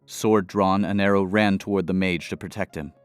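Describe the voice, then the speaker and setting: A man narrating a scripted fantasy adventure in a dramatic, measured storytelling manner. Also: low-pitched, fairly even intonation, clean tone, no echo, faint music behind.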